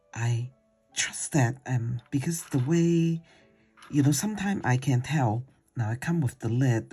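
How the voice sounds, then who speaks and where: Art teacher rambling thru a paint-along, mellow husky voice, lots of ums. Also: medium pitch. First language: Cantonese. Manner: rambling and chatty, with many hesitations and fillers; simple, non-native grammar. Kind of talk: casual painting tutorial talk.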